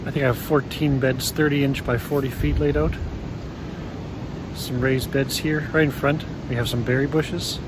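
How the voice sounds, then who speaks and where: A man's voice: medium pitch, steady tone, normal speed. A man in his thirties giving a casual tour of his garden outdoors, explaining his plans in a plain, practical way into a phone held at arm's length. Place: outdoors on a snowy rural acreage. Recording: loud wind and outdoor noise.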